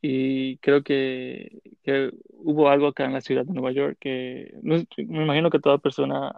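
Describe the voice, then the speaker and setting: A man's voice: medium pitch, level tone, moderate pace, gravelly, no room sound, phone-quality sound. A man in his thirties from the Dominican Republic, answering interview questions casually with many fillers and English words mixed in. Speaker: interview guest, content creator.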